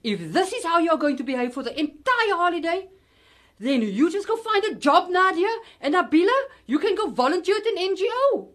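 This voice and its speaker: Radio drama mom played by a woman: harried, fussing, Cape Town accent, high and highly animated voice. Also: fairly quick, heavily acted. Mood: stern, exasperated. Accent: South African, Cape Town. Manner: exasperated, fussing. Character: harried, strict, fretful middle-aged mother. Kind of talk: amateur radio drama acting.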